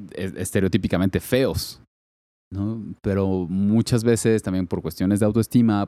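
Guy, low mellow voice, rambling explainer, dry close sound. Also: no room sound. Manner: thinks aloud with many fillers and tag questions. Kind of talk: casual discussion.

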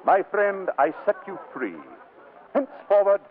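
An actor playing a playful husband feigning madness in 1940s radio comedy, theatrical and mock-solemn. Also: male voice, sits high, animated, measured pace. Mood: mock-grave. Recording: muffled, noisy old radio broadcast.

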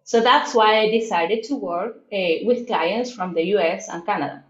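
A woman's voice: mid-range voice, normal speed, lo-fi sound. Latin American woman in an echoey room. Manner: reflective, with many fillers and restarts. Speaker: guest. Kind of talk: casual interview talk.

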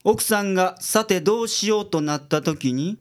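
Man playing a blunt high-voiced Kansai detective boss. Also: moderate pace, close to an everyday voice. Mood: brisk, commanding. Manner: blunt, commanding. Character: gruff, bossy male private detective boss. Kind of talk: radio drama dialogue.

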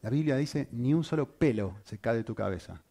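A man preaching a sermon, asking the congregation rhetorical questions and speaking with lively emphasis. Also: medium pitch, fairly quick, creaky.

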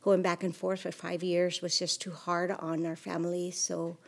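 Low-voiced woman, podcast guest. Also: little pitch movement, moderate pace, creaky. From Mexico. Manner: hesitant, many fillers. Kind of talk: casual interview conversation.

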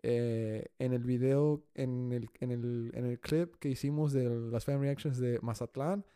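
A man's voice: mid-range voice, fairly even intonation, measured pace, mellow, clean tone. A man from Austin whose first language is Spanish, chatting casually and switching between Spanish and English. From Austin, Texas. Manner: mixes Spanish and English constantly, says "you know what I'm saying". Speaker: podcast guest. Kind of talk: casual chat.

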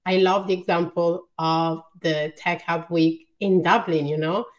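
A woman's voice: fairly low pitch, fairly even intonation, unhurried. A woman hosting an interview, chatty and enthusiastic with lots of fillers. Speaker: host. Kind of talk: casual interview chat.

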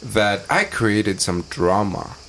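A man's voice: lowish voice, animated, slow, over a noisy crowd. A young man chatting casually as a podcast guest, telling stories in an informal, joking way. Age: young adult.

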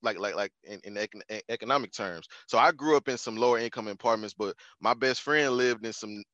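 A man's voice: lowish voice, brisk, sharp tone, no echo, muffled recording. A man, chatting as a podcast guest in a laid-back, slangy way. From Houston, Texas. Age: 20s. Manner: laid-back, slangy, restarts and repeats phrases. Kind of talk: casual conversational interview.

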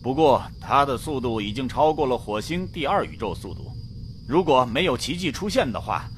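A man's voice: mid-range voice, very animated, moderate pace, a little hiss or hum, close to an everyday voice. A man playing a skeptical, matter-of-fact astronaut. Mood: reporting. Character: Manish, calm skeptical male astronaut pilot. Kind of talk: radio drama acting.

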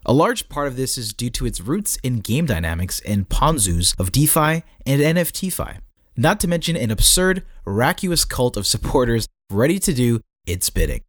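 A man's voice: medium pitch, no echo, studio quality. A man reading a scripted article aloud as a narrator, with a tongue-in-cheek manner.

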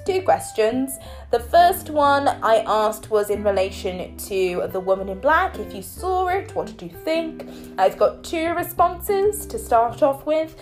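A woman hosting her own podcast in a chatty, playful way, her voice a little croaky from a cold. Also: mid-range voice, darkish tone. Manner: chatty and playful, teasing listeners. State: hoarse from a cold. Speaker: podcast host. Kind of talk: solo podcast talk.

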